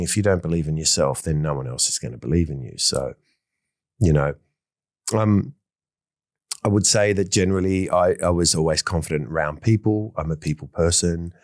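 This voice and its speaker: Middle-aged man, deep bass voice, dry close mic, warm rambling guest. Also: no room sound. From Australia. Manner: enthusiastic, rambling, many fillers and restarts. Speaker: fashion designer, interview guest. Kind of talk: casual interview conversation.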